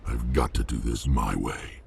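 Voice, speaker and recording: An actor playing a huge, angry reptilian alien warrior in a video game, blunt and defiant, with a gravelly voice. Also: male voice, fairly even intonation, unhurried, heavy background noise, exaggerated voice. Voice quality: very deep, gravelly.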